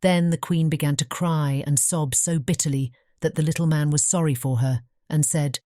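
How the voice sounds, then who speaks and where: A woman's voice: sits low, steady tone, normal speed, mellow, no room sound, clean studio sound. A woman with a British English accent reading scripted fairy tale narration as an audiobook narrator.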